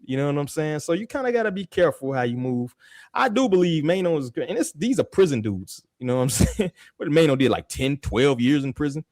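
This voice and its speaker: A man's voice: a little high, big pitch swings, fairly quick, a little mellow, no room sound, studio quality. A man, hosting a podcast in casual street slang, often checking in with "you feel me.". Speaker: podcast host. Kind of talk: casual chat.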